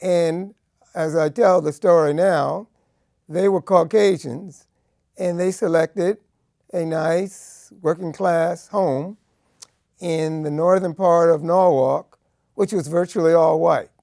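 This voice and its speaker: Older American man, seventies, law professor recounting personal history; unhurried delivery, fry-edged voice. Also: a little high, fairly even intonation, darkish tone, no room sound, studio quality. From Connecticut, United States. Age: 70s. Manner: deliberate, measured, in short phrases. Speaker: law professor and civil rights lawyer. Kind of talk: personal oral history storytelling.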